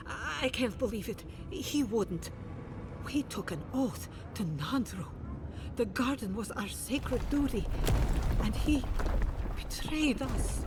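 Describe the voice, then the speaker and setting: An actress playing an anxious, devout leader of an endangered keep, earnest and formal, in a video game cutscene. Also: female voice. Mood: dismayed. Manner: earnest, urgent, emotive, formal. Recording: clear rumbling ambient noise behind.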